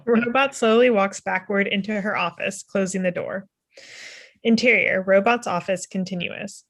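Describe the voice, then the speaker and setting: A woman's voice: mid-range voice, normal speed. Woman reading script stage directions. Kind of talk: scripted narration, table read.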